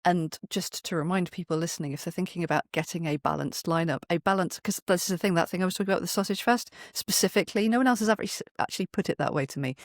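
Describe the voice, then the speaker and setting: A British speaker with an expressive manner, talking as an interview guest and often acting out imagined quotes. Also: mid-range voice, quick pace, hardly any pauses, no echo, studio quality. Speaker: podcast guest, writer and poet. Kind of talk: animated interview conversation.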